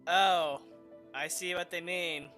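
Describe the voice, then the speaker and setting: A man's voice: high voice, fairly even intonation, takes it slowly, pushed and bright. A man streaming a video game, reacting casually to the story as he plays. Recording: game music behind the voice.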